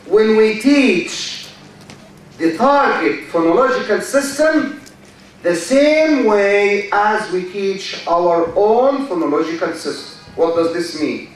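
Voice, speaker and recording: A high-voiced man with an Egyptian accent lectures at a deliberate, unhurried pace, repeating key words and putting questions to his class. He is recorded from a distance in a large, very echoey hall. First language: Arabic. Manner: slow, repeats key words, quizzes students. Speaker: university lecturer. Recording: echoey hall, mic far off.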